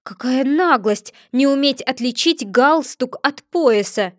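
A woman's voice: sits high, expressive, no echo, studio quality. A woman voicing a pompous, touchy male egg figure who lectures and takes offense easily. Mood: triumphant, scolding. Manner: haughty, lecturing, quick to take offense.

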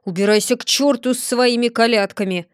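A woman voicing a stern, hot-tempered village blacksmith who shouts gruffly. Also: on the high side, brisk, no room sound, clean studio sound, close to an everyday voice. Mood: angry.

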